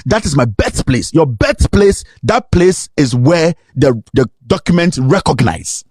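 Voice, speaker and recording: A man's voice: on the high side, very animated, measured pace, a little mellow, slightly rough, dry recording, studio quality. A man with a Ghanaian accent giving an impassioned political monologue, indignant and emphatic, repeating rhetorical questions. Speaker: political commentator.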